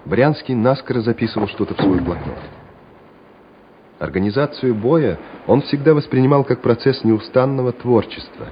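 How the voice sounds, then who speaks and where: A man's voice: mid-range voice, normal speed, slight background noise. A man narrating a war radio play in a scripted, dramatic storytelling style. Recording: dull radio recording with music behind.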